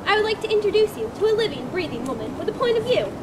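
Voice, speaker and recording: A woman reading the part of a confident male philosopher and mentor in an amateur dramatic reading, brisk and teasing in debate. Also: very high-pitched, big pitch swings. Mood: arguing. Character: confident man, a philosopher and mentor, amused and argumentative. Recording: loud outdoor traffic noise behind. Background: traffic noise.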